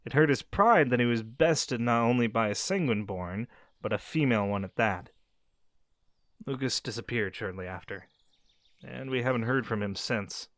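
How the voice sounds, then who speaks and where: A man voices a friendly young werewolf who talks softly and reassuringly to you, his tone rising and falling with real animation. The close mic sounds completely dry. He stays easygoing and caring. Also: mid-range voice, lively intonation, moderate pace, dry recording, natural voice. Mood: somber. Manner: gentle, reassuring, speaks directly to the listener. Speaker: audio roleplay character. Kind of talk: scripted audio roleplay addressing the listener.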